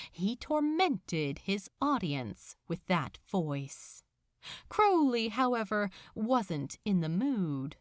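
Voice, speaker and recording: A woman narrates fiction with dramatic, lively swings in pitch. She takes her time, setting out short measured phrases with pauses between them, in a dry, close recording. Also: no echo. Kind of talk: scripted story narration, dramatic reading.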